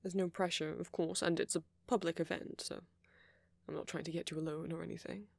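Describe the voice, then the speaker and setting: A man performs a courteous, flirty male vampire in an intimate audio roleplay. His voice is husky and breathy with plenty of creak, recorded very dry. He speaks softly and rambles politely, apologetic and considerate while he charms. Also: on the high side, little pitch movement, a little fast, dry recording. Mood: friendly, inviting.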